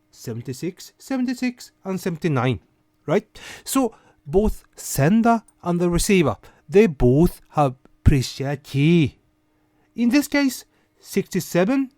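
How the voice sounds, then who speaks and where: A man's voice: high voice, darkish tone, no room sound. A man hosting a casual explainer, talking conversationally and putting questions to the listener. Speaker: host of a rant and explainer series. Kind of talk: casual explainer narration with quoted passages.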